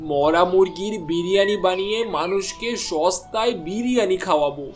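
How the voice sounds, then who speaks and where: A man plays a village biryani cook for a cartoon, pitched well up high. He is a thrifty schemer who chats and bargains as he goes. Soft music plays behind him. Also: moderate pace, natural voice. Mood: scheming. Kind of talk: cartoon dialogue voice acting. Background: background music.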